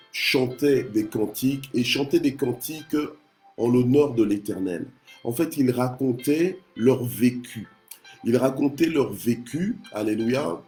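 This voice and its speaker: Mellow-voiced male preacher from Congo. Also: medium pitch. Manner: punctuates speech with short exclamations of praise. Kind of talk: devotional sermon on scripture.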